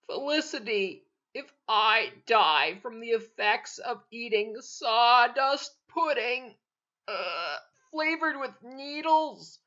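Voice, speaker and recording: Woman putting on a groaning old uncle, slow and muffled. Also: on the high side, lively intonation, sharp tone, voice pushed up, full character voice. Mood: reproachful. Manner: groaning, reproachful, drawn-out. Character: groaning old male uncle, teasing and theatrical.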